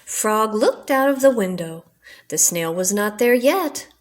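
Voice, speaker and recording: A woman's voice: medium pitch, lively intonation, a little slow. An older woman narrating a children's storybook as a storyteller.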